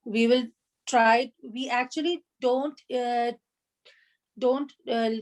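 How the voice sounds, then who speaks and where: A woman's voice: fairly high pitch, steady tone, slow pace. Patient woman teaching Arabic grammar. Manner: patient, explains step by step with frequent fillers. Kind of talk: online class review.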